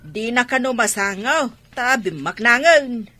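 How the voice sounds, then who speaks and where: Woman playing gossipy snarky warehouse worker, sharp voice, radio drama. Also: a little high, lively intonation, unhurried, dry recording, soft background music, full character voice. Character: gossipy, mocking female fruit-warehouse worker. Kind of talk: dialogue in an Ilocano audio drama.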